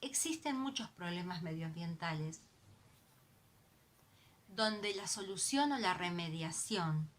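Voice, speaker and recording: A woman's voice: lowish voice, animated, slow, pauses often. A woman from Argentina with an Argentine Spanish accent, giving an earnest, impassioned recorded video message as an activist. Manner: earnest, impassioned, repeats for emphasis.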